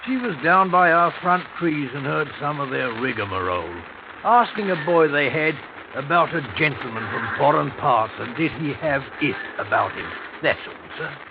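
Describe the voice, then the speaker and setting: An actor playing a friendly, deferential old family steward with fond manners, in old radio drama style. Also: male voice, a little high, animated, normal speed, gravelly. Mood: deferential, dismissive. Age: older. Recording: dull, noisy old radio recording.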